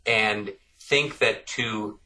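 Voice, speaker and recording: A man's voice: on the low side, extremely slow, pushed and bright, low-quality recording. A middle-aged man answering interview questions thoughtfully, often repeating words as he works out his points. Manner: thoughtful, repeats words while working out ideas. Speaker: author guest.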